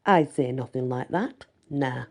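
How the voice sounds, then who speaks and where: Teenage male werewolf love interest, acted by a woman: low, husky, laconic, protective, for a fantasy audiobook. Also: full character voice. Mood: defensive. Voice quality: deep rumbling voice. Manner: slow, understated replies. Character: teenage werewolf boyfriend, laconic, protective, amused young man.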